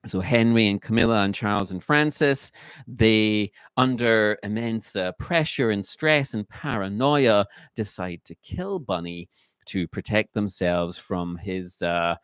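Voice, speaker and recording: A man giving an unscripted book review in a halting way, full of fillers and restarts, a little croaky from a cold. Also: fairly low pitch, fairly bright tone, no room sound. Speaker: book reviewer, channel host.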